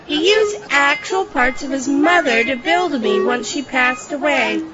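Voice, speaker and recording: Disdainful robot wife, slow scolding delivery, high pitch, dull audio comedy recording. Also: female voice, expressive. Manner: scolding, deliberate. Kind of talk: audio comedy drama acting. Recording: muffled audio under loud music.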